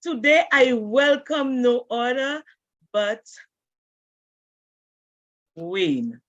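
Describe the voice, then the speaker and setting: A woman's voice: fairly high pitch, big pitch swings, extremely slow, fairly bright tone, dry recording. A woman hosting an online interview and greeting her guest and audience over a video call. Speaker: interview host. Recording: dull dry video-call audio.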